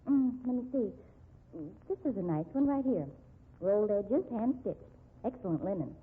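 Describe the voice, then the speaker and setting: Wronged young female store clerk, earnest 1950s radio acting, husky edge, lo-fi vintage sound. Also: medium pitch, expressive, moderate pace. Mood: polite, attentive. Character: honest young female shop clerk, wrongly accused, indignant. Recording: muffled, dull 1950s radio recording.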